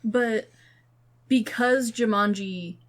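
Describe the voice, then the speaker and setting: A woman's voice: on the high side, slow. Woman chatting casually and informally. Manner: informal, rambling, frequent "like". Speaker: podcast co-host. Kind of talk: casual chat about films.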